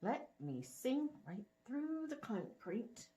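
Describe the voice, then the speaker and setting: A woman's voice: fairly high pitch, very animated, takes it slowly, warm tone, pitched above the performer's own voice. A woman voicing a smitten young man in a storybook, bashful, sheepish and self-conscious as he courts. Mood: embarrassed.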